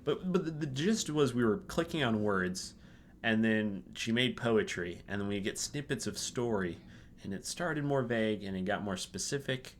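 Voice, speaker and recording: A man co-hosts a gaming video with rambling, joking commentary. His voice sits on the low side and swings lively in pitch, with faint noise behind it. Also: normal speed. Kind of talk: casual chat, game commentary.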